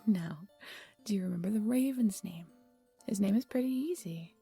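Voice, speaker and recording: An old grandmother telling tales in an audio drama, acted by a woman. The voice is heavily breathy and whispery, set at a medium pitch. Delivery is hushed and deliberate, over faint music. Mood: doting, teasing. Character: gentle old grandmother storyteller, turning eerie and insistent. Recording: music under the voice.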